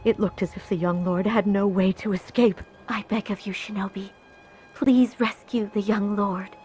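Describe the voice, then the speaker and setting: A woman's voice: medium pitch, steady tone, normal speed, darkish tone, faint music behind, close to an everyday voice. An actress playing an anxious, loyal woman in a video game, pleading earnestly and respectfully. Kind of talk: game cutscene acting.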